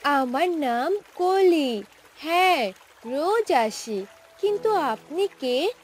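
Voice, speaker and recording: A woman voicing a carefree, pouty young village girl in a cartoon, with drawn-out girlish delivery. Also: high voice, animated, slight background noise, heavily acted. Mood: coy, curious. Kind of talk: cartoon voice acting.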